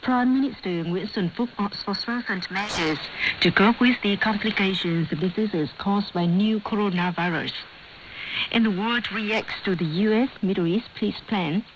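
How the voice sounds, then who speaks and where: A woman presents scripted radio news in Vietnamese-accented English. Her delivery is formal and measured at a middling pitch, and it comes through shortwave radio with plainly audible static noise. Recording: noisy shortwave radio broadcast. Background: clear static noise.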